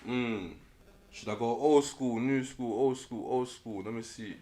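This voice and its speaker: A man's voice: mid-range voice, fairly even intonation, measured pace, warm tone. Laid-back London man chatting. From Nigeria. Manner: laid-back slangy talk, laughs often. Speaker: rapper guest. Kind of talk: casual chat.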